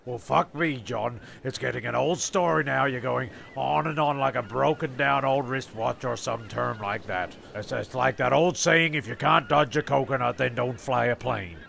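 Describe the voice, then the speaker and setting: Gravelly, creaky male narrator. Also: mid-range voice, normal speed, few pauses, fairly bright tone, slight background noise. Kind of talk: loose comedic narration.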